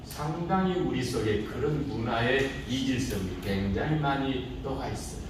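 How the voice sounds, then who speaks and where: A man's voice: medium pitch, moderate pace, noisy background. A middle-aged man teaching a speech class in a classroom, lecturing casually and teasing the students with rhetorical questions. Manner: informal banter with students. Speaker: speech instructor. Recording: echoey room from far off.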